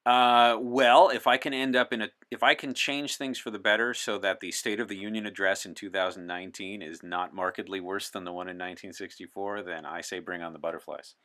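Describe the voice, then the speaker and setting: A man's voice: low-pitched, fairly even intonation, a little fast, hardly any pauses, pushed and bright. A man speaking as an interview guest, explaining things in an articulate, erudite way. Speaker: podcast guest in the persona of a time traveler from 1964. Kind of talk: in-character interview talk on science fiction and current events.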